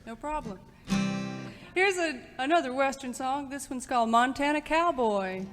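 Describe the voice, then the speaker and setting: A woman playing a breezy, glamorous actress in a parody radio serial, coy and a little halting. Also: sits high, animated, loud music behind the voice. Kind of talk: parody old-time radio serial acting.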